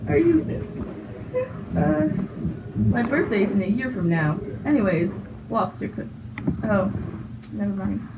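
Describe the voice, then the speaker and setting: A woman's voice: medium pitch, measured pace, long pauses, narrow, tinny audio, natural voice. A woman voicing a blunt, casual, hesitant young male hedgehog hero in an amateur comedy cartoon fandub. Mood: awkward, casual. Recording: roomy, noisy, distant microphone.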